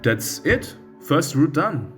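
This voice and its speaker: A man playing through a visual novel as a streamer, excitable and theatrical, reacting with real emotional investment. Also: fairly high pitch, takes it slowly, faint music behind. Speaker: let's play streamer. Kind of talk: visual novel playthrough, reading aloud and reacting.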